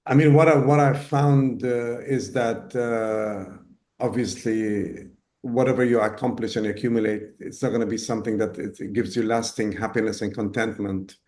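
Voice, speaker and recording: A man from Ghana in his fifties, talking as an interview guest, pausing often with fillers and restarts. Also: fairly low pitch, steady tone, darkish tone. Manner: hesitant, many fillers and restarts. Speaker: guest, business owner. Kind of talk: casual interview talk.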